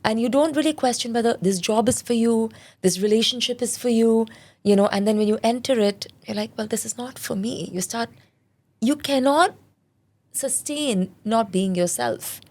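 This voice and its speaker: A woman's voice: on the high side, normal speed, a little mellow. A woman in her forties, born in India, speaking as a parenting specialist in a candid, reflective interview. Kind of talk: conversational interview.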